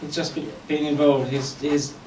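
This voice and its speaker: A man's voice: fairly high pitch, level tone, normal speed, darkish tone. Echoey, distant man giving a wry tribute. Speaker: speaker giving a memorial tribute. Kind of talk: eulogy speech with humorous anecdotes. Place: indoor function venue at a golf club, before an audience. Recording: echoey room, distant mic, faint noise.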